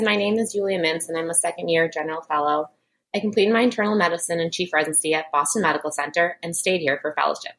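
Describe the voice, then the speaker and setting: Woman doctor, low voice, quick pace, friendly. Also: a bit bright, low-quality recording. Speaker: cardiology fellow. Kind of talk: self-introduction.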